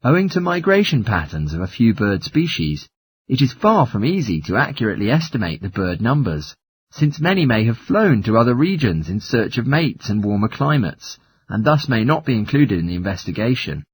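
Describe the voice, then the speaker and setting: A man reading scripted listening test dialogue and lecture in a formal, measured, articulate way, with a slightly husky voice. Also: mid-range voice, sing-song.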